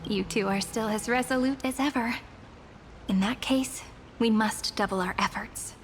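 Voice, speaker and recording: A woman's voice: fairly high pitch, normal speed, slight background noise, close to an everyday voice. A woman playing a gracious, polite chronicler in an anime game. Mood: gracious, earnest. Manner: poised, polite. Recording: loud background music.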